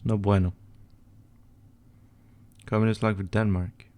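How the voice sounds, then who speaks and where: A young man from Sweden, his voice a bit low, chats offhandedly on a livestream while working at his computer. He mumbles, trails off mid-thought and swears quietly under his breath. Keyboard typing and mouse clicks come through behind him. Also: level tone, unhurried. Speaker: livestream host answering chat questions. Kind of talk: casual livestream chat while editing images.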